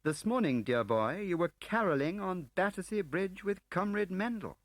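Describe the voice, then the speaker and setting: Man playing a breezy, droll English gentleman spy. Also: sits high, animated, moderate pace, no echo, voice pushed up. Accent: upper-class English. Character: urbane, wry, chatty gentleman spy. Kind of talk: audiobook character acting.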